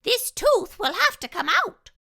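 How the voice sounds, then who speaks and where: A woman's voice: extremely high pitch, very animated, measured pace, vocal fry, bright, forceful tone, voice pushed up, heavily acted. A woman voicing a polite, earnest little male mouse dentist in a children's storybook, with emphatic delivery. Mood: polite, businesslike.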